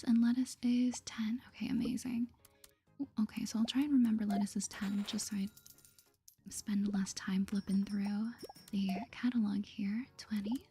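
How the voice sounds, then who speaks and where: A woman whispering softly in a gentle, polite, chirpy way as she hosts an ASMR game playthrough. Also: mid-range voice. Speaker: gaming video host.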